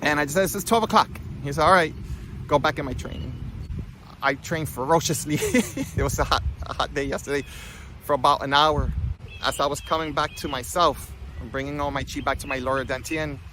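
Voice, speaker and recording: A man giving an informal spiritual talk with personal stories, in an animated, conversational manner. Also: a little high, a little fast, a little hiss or hum. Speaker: spiritual healing coach and vlogger.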